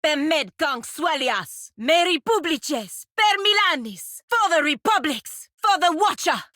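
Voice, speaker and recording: A woman playing a proud, stern, principled warrior in a video game, with formal, measured phrasing and a dryly sardonic edge. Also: high-pitched, animated, sharp tone, no room sound, clean studio sound, heavily acted. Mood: fierce battle cries. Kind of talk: video game character dialogue.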